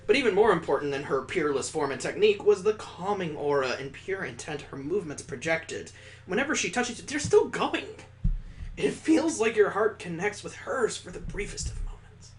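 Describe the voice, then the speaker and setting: A man's voice: sits high, lively intonation, normal speed, low-quality recording. A man doing a theatrical read-aloud of a visual novel as a gaming host, breaking off for wry asides. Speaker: video game let's play host.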